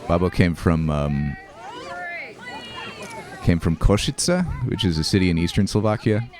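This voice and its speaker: A man hosting a show, talking casually and reflectively over home movies, with fillers, restarts and soft laughs. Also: deep voice, expressive, unhurried, crowd noise. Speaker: host.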